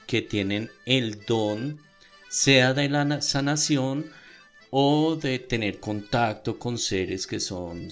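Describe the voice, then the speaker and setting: A man's voice: lowish voice, a bit bright. A man with a Bogotá Colombian accent telling stories and explaining in a conversational, slightly hesitant way on a podcast. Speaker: podcast panelist. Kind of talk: conversational storytelling. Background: music.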